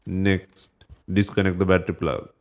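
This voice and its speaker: A man's voice: low-pitched, level tone, moderate pace. A man reads scripted instructional narration for a repair tutorial, giving terse step-by-step directions.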